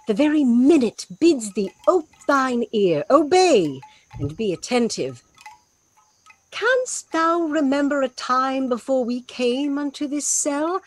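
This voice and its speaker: A sorceress mother in a Shakespearean radio drama, commanding yet tender. Her voice is high, and she speaks slowly, with stately deliberation. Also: lively intonation, exaggerated voice. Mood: solemn, commanding. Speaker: actor in a Shakespeare audio play.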